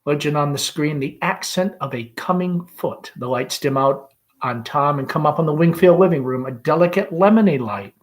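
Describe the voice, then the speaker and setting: A man reading a play aloud in deliberate, expressive scripted narration. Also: a little high. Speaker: reader, principal.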